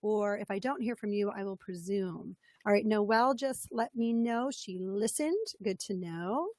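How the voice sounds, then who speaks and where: A woman's voice: mid-range voice, measured pace, darkish tone, dry recording. A woman coach with a slightly scratchy voice, leading an interactive webinar in an upbeat, chatty way and greeting participants by name. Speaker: business coach presenting a webinar.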